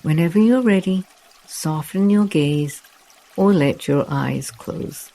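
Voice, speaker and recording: Slow, mellow meditation guide. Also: mid-range voice, gravelly. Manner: soft, soothing, unhurried delivery with long pauses.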